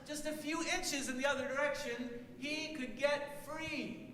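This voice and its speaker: Brief: a man playing a helpful male mouse calling up in a high drawn-out sing-song, roomy sound. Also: fairly even intonation, bright, forceful tone, a little hiss or hum, full character voice. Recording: echoey room, voice set back from mic.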